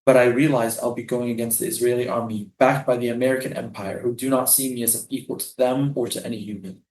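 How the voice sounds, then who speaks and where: A man reading the part of a tender, defiant Palestinian refugee prisoner in a staged play, lyrical and deliberate. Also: medium pitch, steady tone, a little mellow, natural voice. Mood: defiant, reflective. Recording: roomy, echoing live stage reading.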